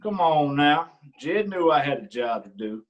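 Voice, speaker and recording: A man's voice: fairly high pitch, lively intonation, unhurried, dull audio. A man playing a smug sheriff. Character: rural county sheriff, middle-aged man, prying and smug. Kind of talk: staged play reading.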